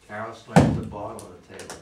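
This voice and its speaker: A man's voice: on the low side, flat delivery, fast. A man reading out stage directions as scripted narration for a play. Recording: echoey room, distant, noisy.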